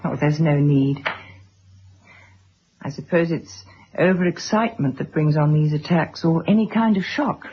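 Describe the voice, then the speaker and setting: An actress playing a gentle, solicitous middle-aged mother and wife in a radio drama, speaking considerately. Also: low voice, unhurried, long pauses, breathy edge. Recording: dull old radio recording.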